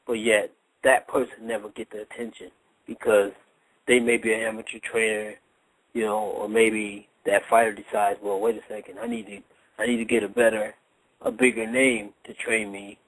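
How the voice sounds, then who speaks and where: Male boxing trainer, low voice, dry close recording, emphatic and repetitive in conversational interview delivery. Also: flat delivery, moderate pace, dry recording, rough recording. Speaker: boxing trainer, guest analyst. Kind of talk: casual radio interview talk.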